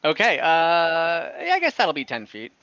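A young man acting as dungeon master on a video call, easygoing and quick to wave off rule slips. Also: a little high, huge pitch swings, bright, forceful tone, no echo. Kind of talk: running an online tabletop game. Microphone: headset on a video call. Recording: dull lo-fi video call audio.

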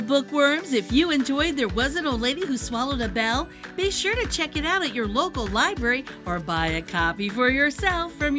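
A middle-aged woman hosting a children's read-aloud, telling a rhyming storybook in an animated, sing-song way over music. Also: high-pitched. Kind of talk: expressive rhyming storybook narration. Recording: music behind the voice.

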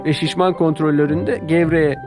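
A plucky little steam engine from a children's cartoon, acted out by a man, speaks high above an adult register. He is earnest and indignant, quick to exclaim and easily spooked, with music playing behind. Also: little pitch movement, natural voice. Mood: eager, earnest.

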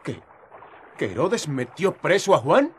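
An actor playing an earnest, friendly young peasant man in a radio drama, hesitant and expressive in speech. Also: on the high side, measured pace, slight background noise. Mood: shocked. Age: young adult.

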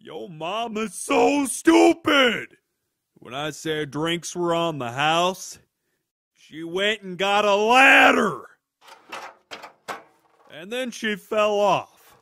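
A man playing a brash, hot-headed young bro who hosts a comedy cartoon, loud and quick to get exasperated. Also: sits high, expressive, full character voice. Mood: mocking. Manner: loud, exasperated, bursts into shouting. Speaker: host. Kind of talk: animated comedy hosting.

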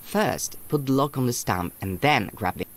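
A man voicing an earnest, protective young boy in a video game, narrating in a measured storybook way. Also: mid-range voice, lively intonation, natural voice. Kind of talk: scripted game character narration.

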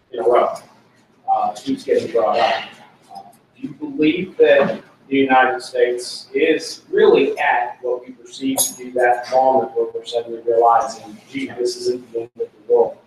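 A man in the audience asking a long, rambling question at a panel discussion. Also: medium pitch. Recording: very echoey room from a distance, faint noise.

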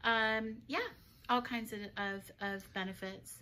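A woman's voice: on the high side, steady tone, measured pace, pushed and bright, a little hiss or hum. A woman in her thirties who owns a yarn shop, chatting in a rambling, giggly way full of fillers. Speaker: yarn shop owner, co-host. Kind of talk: casual chat.

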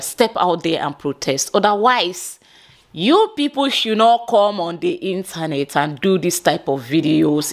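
A Nigerian woman in her thirties from the Niger Delta, talking to camera in an impassioned, pleading vlog, sometimes slipping into Pidgin phrases. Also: lowish voice. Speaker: vlogger. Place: small indoor room. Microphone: clip-on lapel mic.

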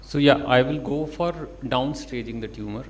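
A man's voice: medium pitch, moderate pace, slight background noise. A man with an Indian English accent answering as a panelist doctor, hesitant with frequent fillers. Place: conference stage.

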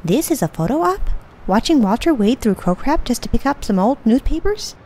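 Comic dub: actress as indignant, brisk TV newswoman, higher register, very animated delivery. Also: soft background music. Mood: skeptical. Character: brisk, indignant female TV news reporter. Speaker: voice actor.

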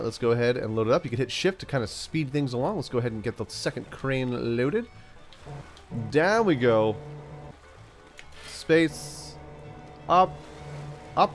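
A man hosting a gaming channel, chatting playfully through a let's-play, stuffed up from a cold and now and then yelling out excited bursts. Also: mid-range voice, normal speed, pauses often, faint music behind. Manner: playful, talks to himself, yells bursts like "send it". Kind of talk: casual let's-play commentary.

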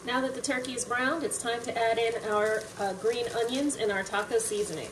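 A young woman talks through her cooking in a loose, chatty way, her voice sitting a little high. She sounds a bit away from the mic in a home kitchen, with clear background noise. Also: a little fast. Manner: relaxed, chatty, with fillers. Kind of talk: casual cooking narration. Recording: distant mic, noticeable room noise.

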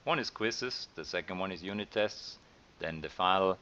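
A middle-aged man with an Austrian accent, talking through course slides as a science teacher in a relaxed way, with many ums and uhs. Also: sits low, moderate pace, lo-fi sound, a little hiss or hum. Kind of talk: recorded slide lecture.

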